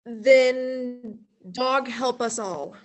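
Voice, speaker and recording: A woman's voice: fairly high pitch, very slow. A woman playing a blundering female army general in a school radio play, speaking stiffly and tripping over words. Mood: pompous.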